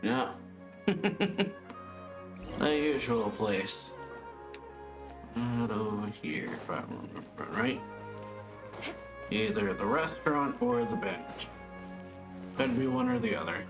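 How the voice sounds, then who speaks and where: A woman's voice: very deep voice, big pitch swings, slow pace, creaky, long pauses, music in the background, poor audio. A woman giving casual, laid-back commentary as she plays a game, often in short murmured reactions. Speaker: let's play streamer.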